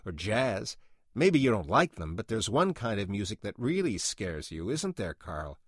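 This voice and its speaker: A man's voice: lowish voice, very animated, unhurried, slightly rough, no room sound, studio quality, heavily acted. A man voicing a pushy, manipulative record company man who coaxes and needles insistently in a dramatic audiobook reading. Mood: coaxing, needling.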